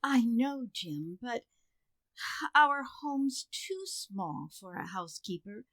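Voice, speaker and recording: A woman acts a firm suburban wife and mother from a radio sitcom, exasperated and dryly sarcastic. Her highish voice is measured and pointed, with big melodic swings. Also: big pitch swings, fairly bright tone, no echo, exaggerated voice. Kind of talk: old-time radio sitcom acting.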